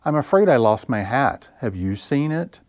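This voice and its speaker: Man voicing a polite high singsong little girl. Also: animated, normal speed, no echo, natural voice. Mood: polite, hopeful. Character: polite girl searching hopefully for her lost hat. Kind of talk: storybook character read aloud for children.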